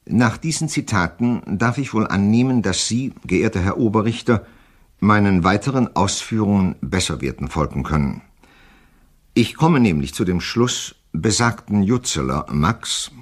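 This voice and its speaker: Low, husky, formal psychiatric expert. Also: male voice, lively intonation, full character voice. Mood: formal, matter-of-fact. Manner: formal, measured, officious. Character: formal male psychiatric expert delivering his report. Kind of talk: dramatised official report in an audio play.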